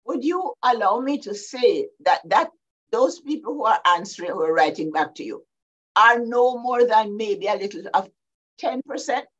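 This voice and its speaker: A woman's voice: a little high, animated, normal speed, a bit bright. Older Jamaican woman on a dull video call. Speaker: panelist. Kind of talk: measured panel talk. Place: home study with bookshelves.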